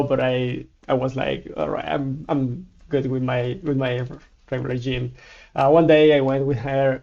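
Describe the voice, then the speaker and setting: Cuban man speaking English haltingly, flat voice. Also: mid-range voice, dull audio. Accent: Cuban Spanish. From Cuba. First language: Spanish. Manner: halting, many restarts in his second language. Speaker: guest. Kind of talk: casual interview.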